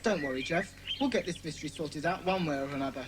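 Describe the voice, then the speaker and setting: A woman's voice: low voice, fast talker, a crowd in the background, lightly acted. A woman acting a bossy, sensible schoolboy club leader in a dramatised children's audiobook. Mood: reassuring. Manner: commanding, sensible.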